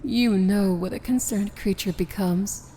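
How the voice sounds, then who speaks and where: Casting: female actor as proud, tender villain princess for ASMR fantasy roleplay; unhurried, theatrical, intimate delivery. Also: mid-range voice, slight background noise, close to an everyday voice, low-quality recording. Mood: bitter, proud. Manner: theatrical, deliberate, often dropping to a hushed whisper. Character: proud, tender princess of evil, wry and regal.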